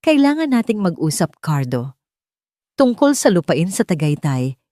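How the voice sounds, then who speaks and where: Lady reader doing snobby jealous ex girlfriend from a romance story, low sweet voice with a bite. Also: big pitch swings, measured pace, dark tone, dry recording. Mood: coolly polite. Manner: sweet on the surface, cutting underneath. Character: elegant, jealous, scornful former lover, young woman.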